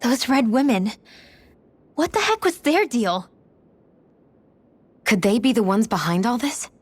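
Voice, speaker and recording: An actress playing an earnest, concerned young heroine in a fantasy video game. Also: female voice, a little high, expressive, a little slow, breathy edge, dry recording. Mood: puzzled unease.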